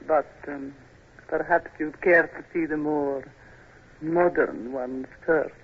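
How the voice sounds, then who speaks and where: Coy young countess. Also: female voice, sits low, fairly even intonation, takes it slowly, breathy edge, full character voice. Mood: coy, pointed. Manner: genteel, coy. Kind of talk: 1950s radio drama acting. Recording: dull, noisy 1950s radio recording.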